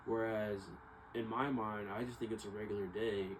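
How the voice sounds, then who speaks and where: A young man with a fairly low voice talks off the cuff, as in a personal vlog. He is reflective and hesitant, looping back to restate his thoughts. Steady noise is clearly audible behind him. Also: moderate pace. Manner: hesitant, reflective, circling back over points. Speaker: vlogger. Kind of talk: casual rambling monologue to viewers.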